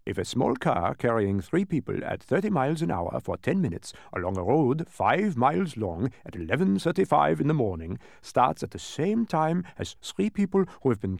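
A man voicing a fussy, pedantic, excitable dodecahedron creature in a storybook, with an emphatic, scolding manner. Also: medium pitch, creaky, dry recording, clean studio sound, pitched above the performer's own voice. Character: fussy, exacting many-faced dodecahedron, male, excitable.

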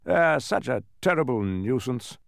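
Booming, boastful mathematician wizard. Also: male voice, big pitch swings, dry recording, clean studio sound. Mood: weary. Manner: bellowing, grand, slow emphatic proclamations.

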